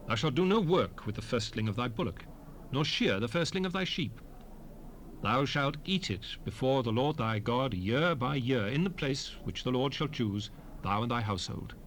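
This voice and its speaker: An actor playing a solemn lawgiver, proclaiming commandments in a measured, declamatory way for a dramatized King James Bible recording. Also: mid-range voice, a bit bright. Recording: hissy 1970s stereo cassette rip.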